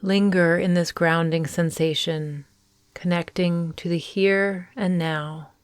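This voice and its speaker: Slow, low-voiced woman guiding meditation. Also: steady tone, smooth, no echo. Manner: gentle, soothing, deliberate phrasing with long pauses. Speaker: meditation guide and host. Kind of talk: guided morning meditation.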